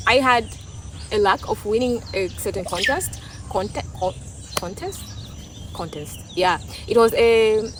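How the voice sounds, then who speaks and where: Tanzanian woman vlogging in english, swahili first language, casual and reflective, some faint rural noise behind her. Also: mid-range voice. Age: young adult. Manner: thoughtful, restarts and repeats words. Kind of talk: casual opinion talk.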